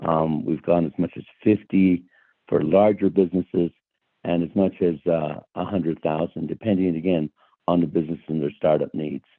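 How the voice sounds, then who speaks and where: A man with a hoarse voice from a cold, answering a committee's questions over the phone, halting, with many fillers. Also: low-pitched, fairly even intonation, no echo. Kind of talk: formal testimony by phone. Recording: dry tinny phone line.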